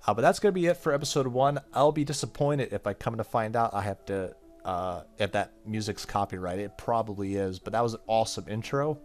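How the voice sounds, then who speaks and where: A man's voice: lowish voice, fairly quick, few pauses. A man playing a horror game, reading its story text aloud dramatically and commenting casually. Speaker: gaming YouTuber. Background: game music.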